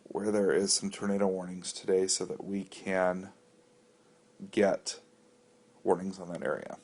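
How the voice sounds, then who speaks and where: Casting: American male reviewer with a fairly low voice, walking through software off the cuff, plain-spoken and explanatory. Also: flat delivery, unhurried. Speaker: app reviewer giving a walkthrough. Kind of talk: casual unscripted tutorial narration.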